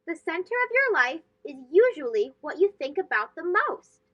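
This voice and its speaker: A high-voiced woman narrates a storybook for young children. Her delivery is expressive and animated, with wide pitch movement, and the recording is slightly dull and lo-fi. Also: normal speed. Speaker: storybook reader, host.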